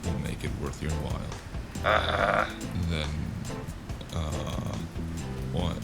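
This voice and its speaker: Casting: male actor as world-weary cyberpunk noir narrator, extremely low and unhurried, wry and brooding, over loud music. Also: creaky, heavily acted. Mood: casual, exasperated. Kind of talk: scripted first-person noir audiobook narration. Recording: constant loud music underneath.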